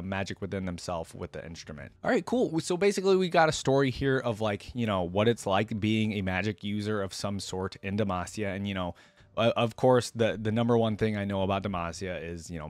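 A young man with a fairly low voice hosts a casual reaction video. He talks informally, explaining as he goes. The sound is dry and close, from a microphone at his desk indoors. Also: no echo. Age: young adult. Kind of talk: casual reaction commentary with some reading aloud. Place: small room indoors at a desk.

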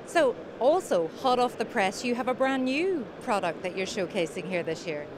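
A woman in her forties interviewing at a trade show booth, asking brisk, friendly questions into a handheld mic. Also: a little high, expressive, background noise. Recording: crowded trade show hall.

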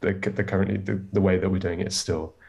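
Male research engineer, very low voice, casual restarting delivery. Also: flat delivery, fast talker, creaky, darkish tone. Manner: fluent but restarting, casual fillers like "like". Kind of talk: panel discussion on a video call.

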